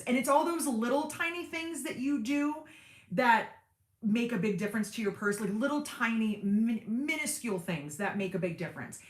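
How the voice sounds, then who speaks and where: A woman hosting a casual sewing livestream, reading viewer comments and chatting with lots of fillers and self-deprecating asides, with a fairly deep everyday voice. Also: fairly bright tone, reverberant. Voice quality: deep speaking voice in everyday talk. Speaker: sewing channel host on a livestream.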